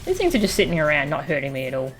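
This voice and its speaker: A woman's voice: sits low, wildly swooping pitch, rapid-fire, rough recording. A woman streaming a video game with casual, off-the-cuff playthrough commentary. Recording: faint game music behind. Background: video game audio.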